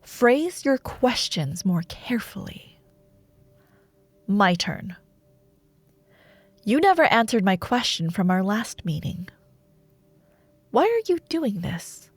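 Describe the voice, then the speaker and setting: A woman playing a taunting, imperious dark warlord sorceress in a roleplay audio drama, speaking in a theatrical, sardonic way with lingering pauses. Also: mid-range voice, big pitch swings, husky, no echo, exaggerated voice. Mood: taunting, probing.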